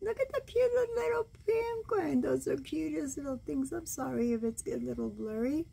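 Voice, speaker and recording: A woman in her sixties reading a bedtime storybook aloud as a grandmother, gentle and expressive, cooing over the pictures. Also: high voice, normal speed, creaky. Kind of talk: read-aloud bedtime story with side comments. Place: small room at home, indoors.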